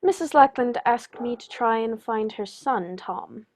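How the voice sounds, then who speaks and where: A woman playing a composed, shrewd male detective in a radio play, with a measured, formal, probing way of speaking. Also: a little high, expressive, darkish tone.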